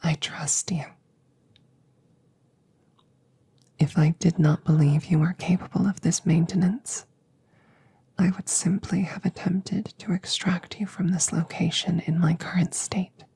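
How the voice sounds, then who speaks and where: A woman playing a calm, reassuring damaged female android in an ASMR audio roleplay, speaking in soft, breathy whispers with measured, formal phrasing. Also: lowish voice, full character voice. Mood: soothing, reassuring. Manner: slow, measured, precise formal phrasing with pauses.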